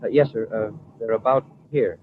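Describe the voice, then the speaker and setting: An actor playing a boyish, restless and deferential space cadet sidekick in an old-time radio drama. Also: male voice, mid-range voice, normal speed, natural voice. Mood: dutiful. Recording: tinny, hissy vintage radio recording.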